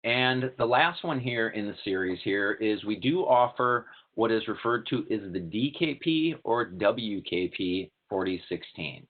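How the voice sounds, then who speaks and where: Guy with a lowish voice doing a product webinar, plain and methodical, thin phone-quality audio. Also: lively intonation, a little slow, hardly any pauses. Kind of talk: technical product presentation. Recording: tinny narrowband call-like audio.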